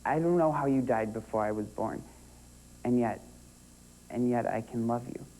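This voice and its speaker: A young man acting a grieving son in an earnest, halting, emotional stage monologue addressed to his lost father. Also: medium pitch, warm tone, a little hiss or hum, lightly acted, low-quality recording. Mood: wistful, searching.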